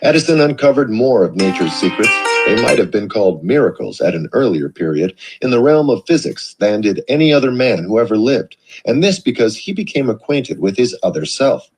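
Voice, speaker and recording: A man reading a self-help book aloud in a measured, expressive way. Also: fairly low pitch, soft background music, low-quality recording. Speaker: audiobook reader. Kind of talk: read-aloud narration.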